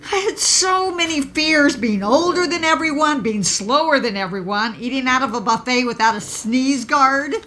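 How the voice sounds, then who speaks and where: A woman's voice: high voice, normal speed, few pauses, a bit bright. A woman playing an anxious, dramatic middle-aged mother in an audio drama, flustered and a little prim. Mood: despairing. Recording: faint noise behind the voice.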